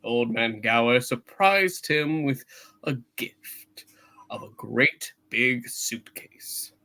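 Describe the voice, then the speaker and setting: A man reads scripted narration for a comedic live play. His delivery is slow and animated, with a bright, medium-pitched voice. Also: poor audio.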